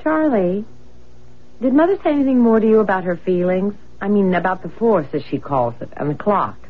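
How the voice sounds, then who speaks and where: An actress playing an anxious, spooked and insistent young wife in a radio drama. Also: female voice, medium pitch, lively intonation, brisk, lightly acted. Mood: uneasy. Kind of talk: 1970s radio drama acting. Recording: muffled old radio broadcast with music.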